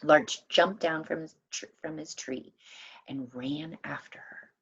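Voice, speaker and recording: A woman reading a children's story aloud in an expressive, deliberate manner with dramatic emphasis. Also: low voice, husky. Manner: animated, deliberate, dramatic emphasis for young listeners. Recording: dull, lo-fi sound.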